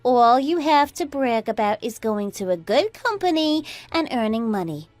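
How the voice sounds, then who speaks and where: A woman playing a smug, catty sister-in-law in a radio drama, preachy and boastful in an animated way. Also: a little high, normal speed, no room sound, faint music behind. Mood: indignant. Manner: animated, preachy, boastful, swooping into indignant questions. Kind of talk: scripted radio drama dialogue.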